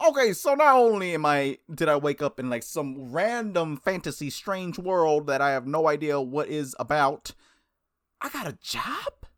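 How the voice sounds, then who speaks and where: A gaming host reading visual novel text aloud and commenting on it casually, in an animated, playful, slangy way. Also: medium pitch, normal speed, no room sound, studio quality.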